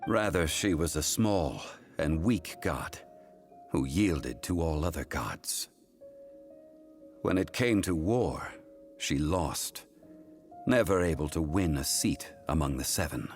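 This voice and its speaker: Grave ancient gentleman, deep voice, slow, formal, faint music underneath. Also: heavily acted. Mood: stern. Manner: calm, formal, measured. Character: ancient, composed male consultant of contracts. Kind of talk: video game voice acting.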